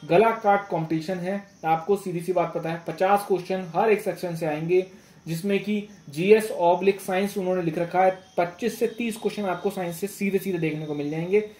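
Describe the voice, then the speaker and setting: A man's voice: high-pitched, fairly even intonation, brisk, a little mellow, soft background music. A man giving informal exam guidance as a teacher, instructive, repeating phrases and often checking that listeners follow. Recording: echoey room.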